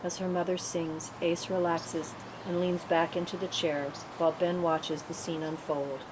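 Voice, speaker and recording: A woman's voice: fairly low pitch, level tone, a little slow. A woman reading scripted stage directions as narrator at a table read, in a noisy room.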